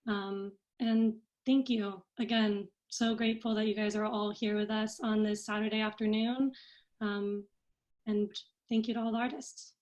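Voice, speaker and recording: A woman's voice: mid-range voice, flat delivery, normal speed, darkish tone. A woman hosting an online arts event, giving informal announcements and thanks. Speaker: event host for an arts organization.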